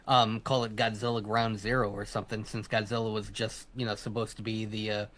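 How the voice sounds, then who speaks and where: Male co-host, dad, playful and quick with a jab. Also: on the low side, flat delivery, a bit bright. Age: adult, a father. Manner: joking, quick banter. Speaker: podcast co-host. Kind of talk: casual chat.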